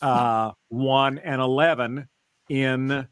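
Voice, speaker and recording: A man co-hosting a podcast, explaining things step by step in a halting, casual way. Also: medium pitch, fairly even intonation, smooth, dry recording. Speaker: podcast co-host. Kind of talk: casual fan discussion, recounting and theorizing.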